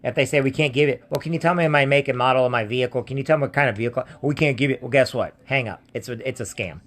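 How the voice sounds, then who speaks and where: A man's voice: mid-range voice, racing, a bit bright. An American man talking casually in a scam-warning video as an identity theft protection seller, insistent, plain-spoken and given to repeating himself. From United States. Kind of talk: casual warning talk to viewers and on phone.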